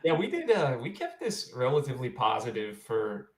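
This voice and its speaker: A man's voice: mid-range voice, huge pitch swings, moderate pace, a crowd in the background. A man co-hosting a podcast in casual conversation, recorded with a roomy echo. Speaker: podcast co-host. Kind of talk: casual chat. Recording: echoey, roomy voice pickup.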